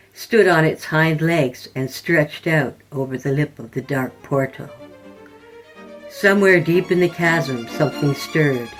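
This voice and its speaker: Low-voiced woman narrating weird horror fiction. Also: low-quality recording. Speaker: podcast host and story narrator. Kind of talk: scripted horror storytelling.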